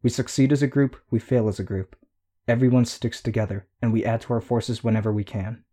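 A man's voice: medium pitch, steady tone, brisk, warm tone, natural voice. A man reading a firm, decisive young woman leader in an audiobook.

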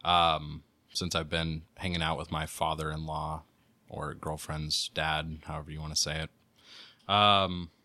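Very deep, bright, dry-miked man chatting. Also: flat delivery, unhurried. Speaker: podcast co-host. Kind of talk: casual chat.

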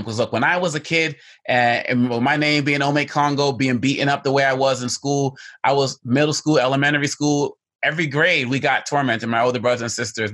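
A man's voice: mid-range voice, fairly bright tone. A man answering interview questions earnestly, stressing his points by repeating key phrases. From United States. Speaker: guest, educator on hate and extremism.

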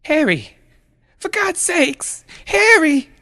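A man voicing an anxious, protective mother in a story, with a breathy, pleading urgency. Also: medium pitch, big pitch swings, a little slow, voice pushed up. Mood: panicked.

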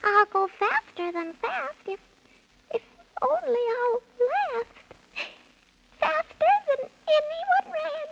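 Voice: extremely high pitch, animated, slow pace, gravelly, pauses often, fairly bright tone, heavily acted. An actor playing a small, loyal whiskery creature with a plaintive, quavering way of speaking in rhyme, in a 1930s children's radio serial. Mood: tearful, determined. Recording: muffled, very noisy old radio recording.

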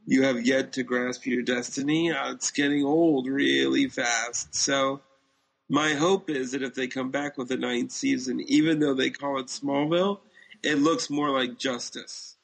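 A man's voice: medium pitch, steady tone, takes it slowly, vocal fry, breathy edge, low-quality recording. A man co-hosting a podcast in casual chat, speaking in an opinionated way. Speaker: podcast co-host. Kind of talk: casual chat about TV shows.

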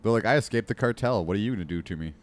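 A man's voice: on the low side, very fast. A man bantering crudely with friends, joking, laughing often and slipping into mock voices.

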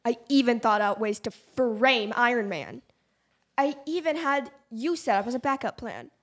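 Defensive comic-book villain, played by a young female, high-pitched, amateur radio drama. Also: animated, normal speed. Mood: angry confessing. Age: child or teen. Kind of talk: amateur youth radio play acting.

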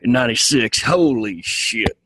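A man voicing a gruff Southern male starship officer with a shady past, talking with an Alabama accent in a drawling, boastful, folksy way. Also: mid-range voice, very animated, unhurried, crowd noise, low-quality recording. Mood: swaggering. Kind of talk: in-character banter on a podcast.

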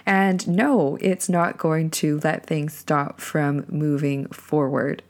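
A woman hosting a podcast solo, speaking earnestly and deliberately in a reflective monologue, her voice lowered by a cold. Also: fairly even intonation, darkish tone. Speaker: podcast host.

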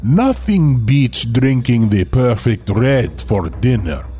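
A man playing a seductive vampire who lulls a victim with drawn-out, hypnotic, savoring speech in a comic horror sketch. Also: mid-range voice, expressive, warm tone, faint music behind. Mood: suave, hypnotic. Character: slow, seductive vampire Vlad hypnotizing his wine-shopping victim.

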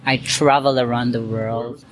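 A man from Cebu talks at an unhurried, drawn-out pace, his phrasing loose and chatty with constant small fillers. His voice sits at an ordinary middle pitch for a man. The recording carries a clear layer of background noise under him. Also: little pitch movement. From Philippines, Cebu. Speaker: interviewee, accountant and Zumba instructor. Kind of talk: casual interview answers. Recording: noisy background hiss.

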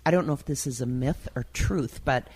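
A woman's voice: a bass voice, a little fast, darkish tone, slightly rough. A woman, chatting casually and hesitantly as an interview guest. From Milwaukee, United States. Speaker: talk show guest, designer and writer.

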